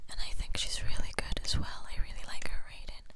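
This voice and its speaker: A woman speaking in a hushed, breathy whisper in an intimate, measured ASMR style, as if reading poetry. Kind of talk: soft-spoken ASMR poetry reading and chat.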